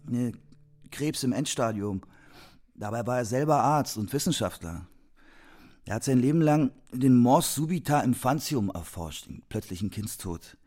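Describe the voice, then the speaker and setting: A man's voice: mid-range voice, expressive, normal speed, darkish tone, natural voice. A man playing a dreamy, sardonic, eccentric young musician in a German radio play. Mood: wry, bitter.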